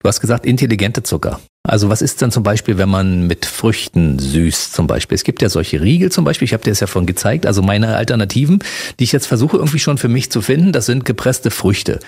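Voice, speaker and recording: A male podcast host with a low, rasping, slightly husky voice. His pitch rises and falls a lot as he chats with guests and drops short questions and encouraging murmurs, all picked up close and dry. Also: expressive, fast talker, a little mellow, dry recording. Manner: chatty, asks short questions, frequent mhm. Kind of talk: casual interview chat.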